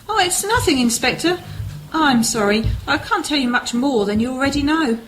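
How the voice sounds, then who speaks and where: A woman's voice: sits high, lively intonation, normal speed, a bit bright, faint music behind, poor audio. A British woman playing a reticent, apologetic officer's wife under questioning in a radio drama, hesitant and polite. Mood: defensive. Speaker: actor in amateur radio play. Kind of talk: scripted radio drama dialogue.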